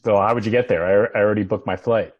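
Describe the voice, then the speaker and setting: Casting: melancholy, unemployed husband male actor, low husky voice, understated and halting delivery for naturalistic TV drama. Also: fairly even intonation, lo-fi sound, lightly acted. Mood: wary, bemused. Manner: hesitant, understated. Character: married unemployed man, melancholy and hesitant. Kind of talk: naturalistic table read of a TV drama.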